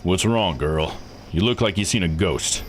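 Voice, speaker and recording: Man putting on a deep, gruff old island boss. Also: measured pace, slight background noise. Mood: gruff, scornful. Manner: blunt, slangy, domineering. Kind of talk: visual novel read-aloud voice acting.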